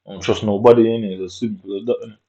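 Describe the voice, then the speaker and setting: A man's voice: lowish voice, steady tone, racing, warm tone. A man telling a story in a casual monologue, in streetwise slang, swearing and tagging lines with "innit". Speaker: vlogger. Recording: dull lo-fi recording.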